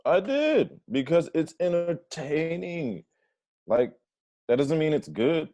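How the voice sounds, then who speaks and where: Actor as a mellow, dry-witted young friend. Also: male voice, a little high, big pitch swings, takes it slowly, dry recording. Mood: blunt. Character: drunk young best friend, earnest yet exasperated. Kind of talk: comedic table read acting.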